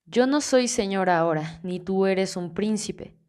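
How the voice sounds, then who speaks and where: A woman reading the lines of a calm, wry older male archmage, in an easygoing, gently teasing way, in an audiobook. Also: medium pitch, a little slow, close to an everyday voice.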